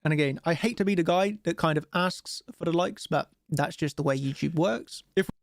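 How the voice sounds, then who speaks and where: A man's voice: a little high, normal speed, vocal fry, no room sound, clean studio sound. A man with a cold and a stuffy nose, chatting casually while hosting a live trading stream and asking viewers for likes. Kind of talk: live-stream chart commentary.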